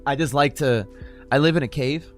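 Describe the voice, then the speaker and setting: A man's voice: mid-range voice, moderate pace, soft background music. A young man hosting a casual talk show from his room. He talks loosely, swears freely and leans on lots of filler words. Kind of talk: casual unscripted chat. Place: home room, self-described cave.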